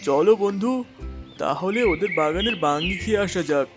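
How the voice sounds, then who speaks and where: A chatty, friendly parrot from a kids' cartoon, acted by a man, pitched high. He sounds chummy and enthusiastic, with music clearly behind him. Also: lively intonation, normal speed. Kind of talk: children's cartoon character voice.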